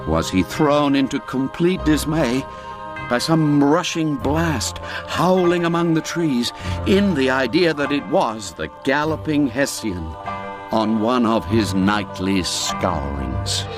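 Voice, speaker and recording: Slow, expressive male storyteller over music. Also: medium pitch. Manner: measured, theatrical, expressive delivery. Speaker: storyteller, narrator. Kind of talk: dramatic scripted story narration.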